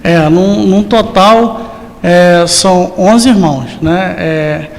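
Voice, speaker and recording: A man in his forties with a high-pitched voice. He answers as an interview guest, politely and simply, often hesitating and adding tag questions. The recording rings like a large hall. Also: slow pace. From rural interior of Rio de Janeiro state, Brazil. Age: 40s. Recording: reverberant hall.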